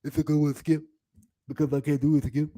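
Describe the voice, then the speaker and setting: Casting: high-voiced, playful man mimicking an African gentleman, theatrical accent, insistent and repetitive delivery. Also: level tone, brisk, close to an everyday voice. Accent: put-on African.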